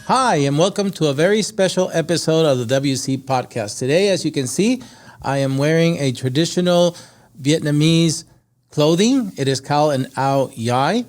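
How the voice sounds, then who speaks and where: A man's voice: a little high, lively intonation, moderate pace, a little mellow, faint music behind. A man hosting a podcast, speaking in a conversational interview style, a non-native English speaker. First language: not English. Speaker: podcast host.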